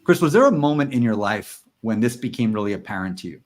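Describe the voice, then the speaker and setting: A man's voice: lowish voice, very animated, fast talker. A man hosting a podcast, speaking earnestly and enthusiastically about ideas. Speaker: podcast host. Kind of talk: casual podcast chat.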